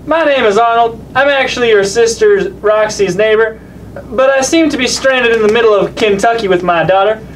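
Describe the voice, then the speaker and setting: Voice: mid-range voice, normal speed, slight background noise, lightly acted, poor audio. A performer voicing a mild, good-natured dad puppet in a children's show, hesitant and folksy. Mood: polite, pleased.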